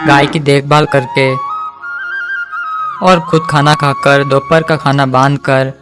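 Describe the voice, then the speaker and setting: A man's voice: medium pitch, little pitch movement, slow pace, music in the background. A man narrating a scripted cartoon folk tale for children as storyteller.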